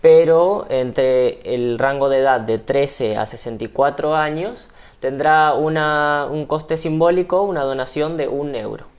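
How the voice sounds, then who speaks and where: A man's voice: on the high side, measured pace. A man with an Argentine accent, a ship crew member being interviewed on TV, explaining at length with frequent fillers. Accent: Argentine Spanish. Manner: hesitant, explanatory, many fillers.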